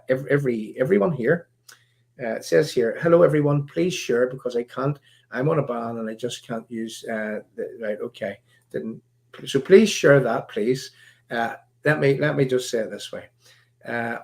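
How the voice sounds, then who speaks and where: A man with a Northern Irish accent hosting a live stream, talking in a halting, rambling way full of fillers, his voice croaky. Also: medium pitch, darkish tone. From Northern Ireland. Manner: halting, many fillers and restarts. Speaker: livestream host.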